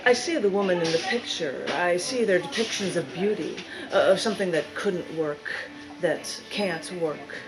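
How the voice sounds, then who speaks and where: A woman with a fairly low voice reads her poetry to a live gathering. Her delivery is deliberate and dramatic, lingering on key words for emphasis. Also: over music, rough recording. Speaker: poet reading own work. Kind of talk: live spoken-word poetry reading. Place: live reading in a large bookstore.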